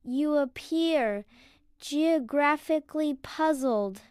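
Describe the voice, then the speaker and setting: A narrator voicing a kindly, scholarly male library spider with a polite, precise, gently fussy manner. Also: sits high, slow, no room sound, clean studio sound, pitched above the performer's own voice. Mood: kindly, thoughtful.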